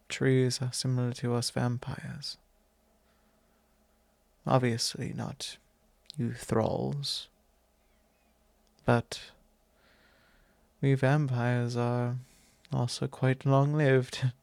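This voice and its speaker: A man playing a courtly, fond vampire lord in an intimate ASMR roleplay, speaking formally and unhurriedly with pauses. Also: mid-range voice, vocal fry, a little mellow, no room sound. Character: courtly possessive male vampire lord, long-lived, fond and wistful. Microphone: close microphone, dry.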